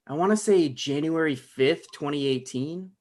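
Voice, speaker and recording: An actor playing an anxious, resentful man in his thirties, with halting, defensive delivery in a naturalistic comedy table read. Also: fairly high pitch, a little mellow, lightly acted. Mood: awkward.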